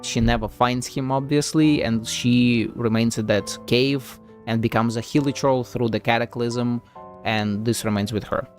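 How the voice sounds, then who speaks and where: A male streamer talks through a game in a casual, mid-pitched voice. He is sceptical and freely profane, thinking aloud over soft background music. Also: steady tone, unhurried. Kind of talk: casual live gameplay commentary.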